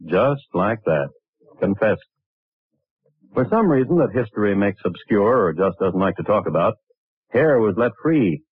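An actor playing a wry, deliberate narrator of historic crimes in a 1950s radio drama. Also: male voice, lowish voice, very animated, husky, no echo, heavily acted. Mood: wry, deadpan. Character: dry, deadpan male storyteller of historic crimes. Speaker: narrator and host. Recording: tinny old radio recording, faint music.

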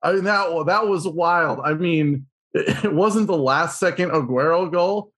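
A man co-hosting a sports podcast, talking in an excitable, rambling way. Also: fairly high pitch, unhurried. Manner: excitable, rambling, lots of fillers and restarts. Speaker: podcast co-host. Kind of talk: casual sports podcast chat.

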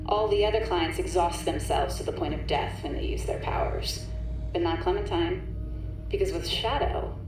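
A woman's voice: lowish voice, normal speed, noisy background, natural voice. An actress playing a gloating, power-hungry scientist villain in an audio drama, taunting and condescending as she explains, in an echoey space over music. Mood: pointed. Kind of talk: audio fiction acting. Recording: echoey, distant, music underneath.

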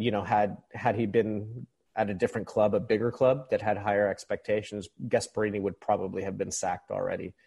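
Male, 30s, from the Philippines, lowish voice, casual football podcast guest. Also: darkish tone. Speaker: podcast guest, football supporters' club member. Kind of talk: casual conversational sports commentary.

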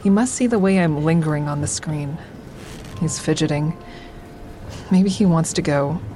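Low-voiced, guarded woman, acted in audio drama. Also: moderate pace, warm tone, slight background noise, natural voice. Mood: uneasy, inward. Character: guarded woman, a stand-up comic and crisis-line volunteer, narrating. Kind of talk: first-person audio drama narration and dialogue.

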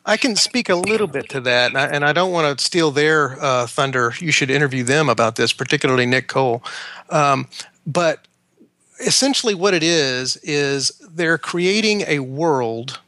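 Male author guest, higher-pitched and bright, hedging explainer. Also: dry recording. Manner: hedging, measured, with fillers. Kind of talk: podcast conversation.

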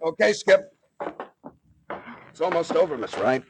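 An actor playing a brisk, level-headed captain in a 1940s radio drama, clipped and commanding in manner. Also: male voice, fairly high pitch, very animated. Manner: clipped, commanding, matter-of-fact. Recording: noisy 1940s radio recording.

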